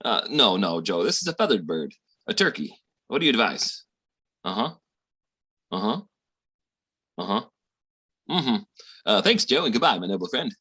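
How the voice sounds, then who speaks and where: A man playing a slangy, squeamish small-time schemer with a raspy voice in a 1940s-style radio comedy. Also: lowish voice, sing-song, normal speed, pauses often, bright, forceful tone, full character voice. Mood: breezy, scheming.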